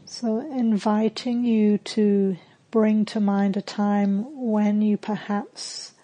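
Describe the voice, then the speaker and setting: Female meditation guide, medium pitch, deeply relaxed mellow tone, calm and soothing, slow delivery. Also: rough recording. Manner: calm, gentle, soothing, with long pauses. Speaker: meditation teacher.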